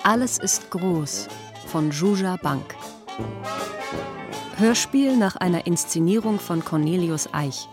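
A woman's voice: lowish voice, animated, slow, pauses often. A woman reading scripted credits as the announcer of a radio play. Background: brass music.

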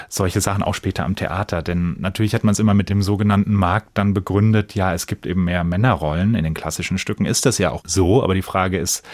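Low voiced guy being interviewed on radio, earnest and reflective, really dry sound. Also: dry recording. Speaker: stage actor, interview guest. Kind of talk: conversational radio interview answers.

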